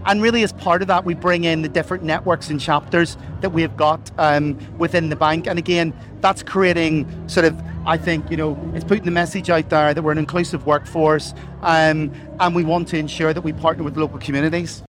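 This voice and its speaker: A man's voice: on the high side, steady tone, a little fast, few pauses. A middle-aged Irish man answering live interview questions at an outdoor festival, earnest and full of corporate phrasing and fillers. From Ireland. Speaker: corporate sponsor representative.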